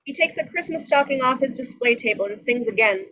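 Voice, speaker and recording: A woman's voice: on the high side, expressive, fairly quick, pushed and bright, no echo, poor audio. A woman reading out scripted scene descriptions and stage directions as a narrator. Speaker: reader of action descriptions.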